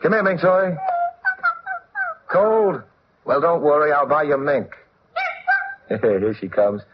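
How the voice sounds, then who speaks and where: An actor playing a suave, wry, unflappable gentleman detective with an urbane, dryly joking manner, in 1940s radio style. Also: on the high side, slow pace. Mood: amused. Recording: muffled, faintly noisy old radio recording.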